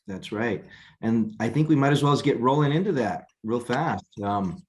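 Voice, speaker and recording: A man hosting a weekly video call, talking in a relaxed, halting way and often repeating words. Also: mid-range voice. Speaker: host.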